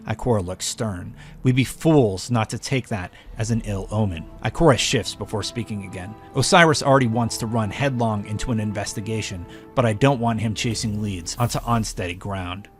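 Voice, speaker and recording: A man's voice: fairly low pitch, normal speed, few pauses. A man narrating scripted game lore, reading both the descriptions and the quoted dialogue. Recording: faint music behind narration.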